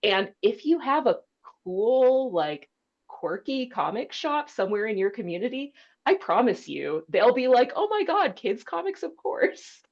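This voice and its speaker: A woman's voice: fairly high pitch, very animated, a little slow, muffled recording. A woman librarian speaking informally on an online panel, presenting in a chatty way with conversational asides. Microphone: video call.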